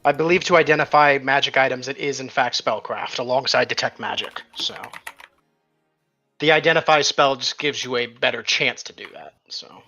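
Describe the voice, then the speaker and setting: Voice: mid-range voice, moderate pace, pushed and bright, lo-fi sound, faint music behind. A tabletop game player explaining rules in casual chat.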